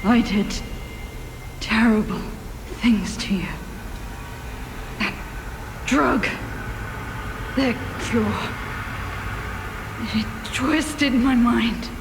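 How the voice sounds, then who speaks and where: A woman playing a weakened, remorseful character in a video game, halting and slipping into breathy half-whispers. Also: fairly high pitch. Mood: pained, remorseful. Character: weakened, remorseful woman, once twisted by a drug. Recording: echoey reverb, loud ambient noise.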